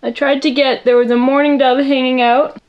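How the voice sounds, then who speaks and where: A young woman with a loud voice chatting casually in her bedroom while doing a makeup tutorial, rambling and poking fun at herself. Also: sits high, level tone, normal speed, lo-fi sound. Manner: chatty, rambling, self-deprecating, talks to viewers while working. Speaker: makeup vlogger.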